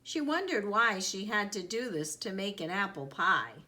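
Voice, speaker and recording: A woman reading a children's story aloud in an expressive, deliberate storytelling manner with dramatic emphasis. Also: medium pitch. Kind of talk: expressive read-aloud narration.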